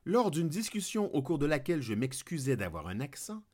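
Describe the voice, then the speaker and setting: A man's voice: a little high, very animated, brisk, darkish tone. A man from Quebec with a Québécois French accent, hosting a podcast and reading a scripted humorous monologue full of declamatory wordplay. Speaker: podcast host.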